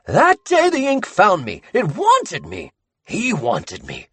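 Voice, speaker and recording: A man voicing a crazed masked man who rants and giggles in a high, manic voice. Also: wildly swooping pitch, slow pace, bright, forceful tone, breathy edge, exaggerated voice. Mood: feverish. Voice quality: manic, breaking into high shrieks.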